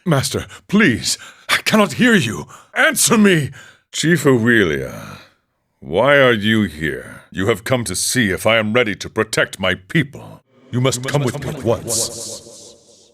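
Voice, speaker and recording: A man voicing an older, serene and wry wandering ascetic monk in a video game, speaking in a solemn, measured, philosophical way. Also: mid-range voice, sing-song, a bit bright, heavily acted. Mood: desperate, pleading, then urgent, resolute. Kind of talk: scripted game character dialogue.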